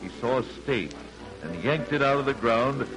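A man's voice: mid-range voice, lively intonation, normal speed, lightly acted, poor audio. A man playing an easygoing American frontier storyteller, narrating a 1940s radio musical in a rustic, homespun way. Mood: folksy, reminiscing. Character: easygoing folksy male frontier storyteller recalling his father's tales. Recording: vintage radio broadcast under loud music. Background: loud music.

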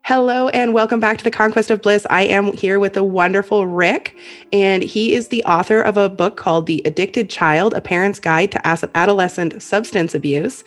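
A woman's voice: medium pitch, normal speed, soft background music. A woman hosting a podcast, enthusiastic and friendly as she introduces and interviews her guest. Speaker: podcast host.

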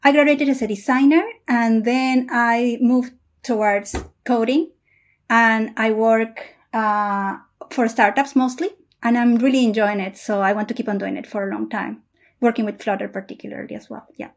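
Female engineer guest from Peru, higher pitch, calm and deliberate, video-call audio. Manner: measured and thoughtful, with frequent "uh" pauses. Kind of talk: casual interview answers.

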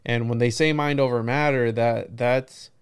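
A man's voice: medium pitch, steady tone, moderate pace, clear, resonant tone. A man in a casual podcast conversation, musing in a rambling way and often checking in with "right" and "you know". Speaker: podcast host.

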